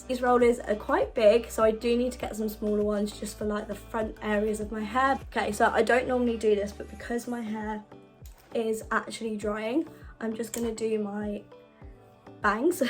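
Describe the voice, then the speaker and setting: A young woman, husky because she is unwell, chatting casually through a hair tutorial at home. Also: on the high side. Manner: chatty, self-deprecating. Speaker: beauty vlogger.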